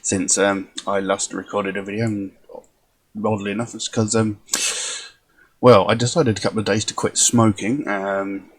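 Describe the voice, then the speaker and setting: A man hosting a music reaction video in casual chat, his voice hoarse and croaky, rambling with many ums. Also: on the low side, level tone, normal speed.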